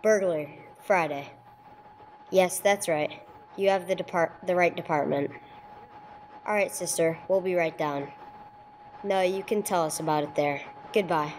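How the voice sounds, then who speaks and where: A woman's voice: fairly low pitch, a little slow, long pauses, a little mellow, slight background noise, natural voice, rough recording. A woman playing a dry, businesslike police detective sergeant in a radio drama, with terse, matter-of-fact delivery. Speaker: narrator and lead actor. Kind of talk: old-time radio police drama, scripted narration.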